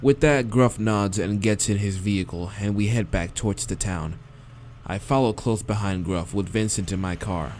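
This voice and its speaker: A man's voice: lowish voice, normal speed, darkish tone, slight background noise. A man narrating a visual novel aloud as a let's-play host, casual and crude, sometimes stumbling over his words. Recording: music behind the voice. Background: game music.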